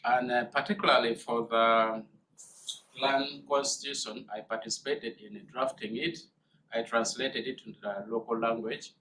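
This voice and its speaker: Man speaking bright and measured. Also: mid-range voice, steady tone, slight background noise, low-quality recording. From northern Uganda. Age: young adult. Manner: measured, with many uh pauses. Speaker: guest speaker, student. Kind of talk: presentation. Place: seminar room.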